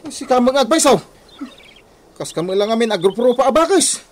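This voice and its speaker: A man's voice: very high-pitched, animated, a little fast. A man playing an easygoing, teasing village woodcutter bantering in a radio comedy drama. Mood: startled teasing. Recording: music under radio drama dialogue.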